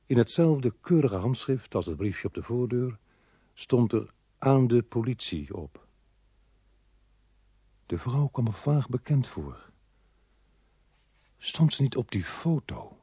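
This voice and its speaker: An actor playing a brisk, world-weary police inspector who narrates his case in a radio crime drama. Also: mid-range voice, big pitch swings, dark tone, breathy edge, no echo, full character voice. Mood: sober narrating.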